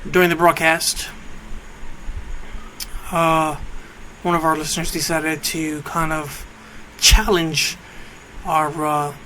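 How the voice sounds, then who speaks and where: A man in his late thirties hosting an internet radio show, talking off the cuff in a casual, halting monologue. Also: a little high, flat delivery, sharp tone, poor audio. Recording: clear background noise.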